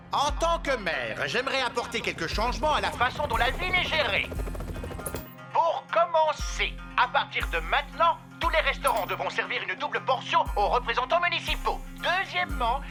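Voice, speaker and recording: An actor in a French cartoon dub voicing a gluttonous, childish, self-satisfied and dim-witted villain who has become mayor. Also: high-pitched. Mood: grandiose. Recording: music bed behind voice.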